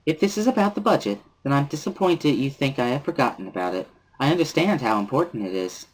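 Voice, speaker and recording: A man voicing a composed, polite teenage girl class representative, speaking in a measured, formal and subtly cutting way. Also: mid-range voice, animated, close to an everyday voice, rough recording.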